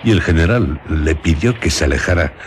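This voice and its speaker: A man hosting a radio story, speaking theatrically in deliberate, suspenseful short phrases. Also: very deep voice, animated, a little hiss or hum. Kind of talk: theatrical scripted radio presentation. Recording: loud music under the voice.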